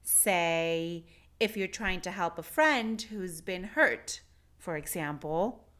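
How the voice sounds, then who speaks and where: A woman's voice: lowish voice, slow pace, fairly bright tone. A woman voicing a fussy, rule-bound male library assistant in a children's storybook, officious and given to exclaiming. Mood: gentle, measured.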